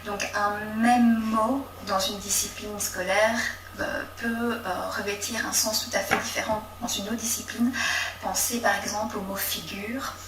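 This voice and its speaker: A woman's voice: mid-range voice, steady tone, background noise. Woman explaining, distant and echoey. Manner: measured, explanatory, with fillers. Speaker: teacher. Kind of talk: explanatory interview answer.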